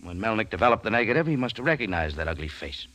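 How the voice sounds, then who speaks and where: An actor playing a tough, wisecracking American cafe owner in a 1940s radio detective drama, terse and wry. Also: male voice, on the low side, sing-song, racing, bright, forceful tone. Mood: sharp, probing. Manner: hard-boiled, terse, wry. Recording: vintage radio recording with music.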